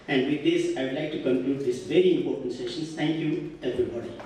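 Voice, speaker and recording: A man chairing a conference session, speaking haltingly with many fillers. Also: medium pitch, very noisy. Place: large echoey conference hall. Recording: echoey hall, distant mic.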